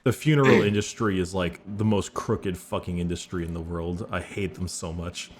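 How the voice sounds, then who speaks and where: A man's voice: deep voice, fairly even intonation, moderate pace, slight background noise. A man hosting a casual podcast, brash and irreverent, rattling off plugs and crude jokes. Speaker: podcast host.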